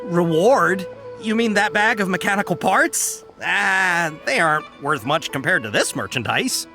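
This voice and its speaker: A woman's voice: lowish voice, animated, measured pace. A woman playing a generous, grateful merchant in a video game, earnest and effusive, with music behind.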